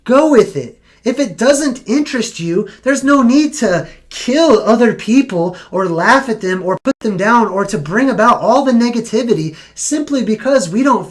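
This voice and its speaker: A young man hosting a casual live stream, losing his voice, rambling and correcting himself as he talks. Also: high voice. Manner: rambling, self-correcting, conversational.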